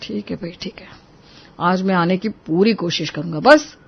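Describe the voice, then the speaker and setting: A man's voice: high-pitched, moderate pace, vocal fry, husky, heavily acted. A man playing a young office worker in an audio story, talking in a colloquial, persuading way on a muffled recording. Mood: placating. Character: young office worker, weary husband or advising friend. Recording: muffled, dull lo-fi sound.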